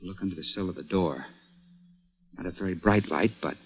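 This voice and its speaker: A man's voice: deep voice, brisk, breathy edge. An actor playing a stubborn, exasperated man about town in a 1940s-style radio crime drama, speaking in a clipped, dramatic manner. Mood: tense, determined. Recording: dull old-time radio recording.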